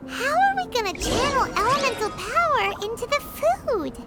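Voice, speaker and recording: A woman's voice: big pitch swings, normal speed. A woman voicing a tiny, chirpy female fairy companion who refers to herself in the third person, in a video game with music behind. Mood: playful. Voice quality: squeaky, talks about herself in third person.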